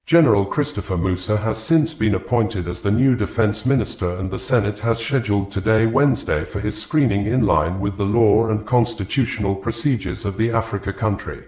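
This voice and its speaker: A man reading scripted news in a formal, measured way. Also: on the low side, a little mellow, roomy sound. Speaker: news presenter.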